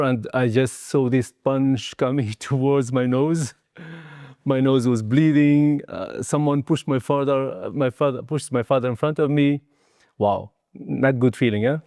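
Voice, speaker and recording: A man in his sixties who grew up in southern Tunisia answers as an interview guest. His voice is mellow and relaxed, recorded close and dry. As a non-native speaker he picks his way through sentences in broken, hesitant phrases with small tags at the end. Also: medium pitch, dry recording. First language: not English. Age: 60s. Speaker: guest, martial arts and Qigong teacher. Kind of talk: reflective personal interview talk.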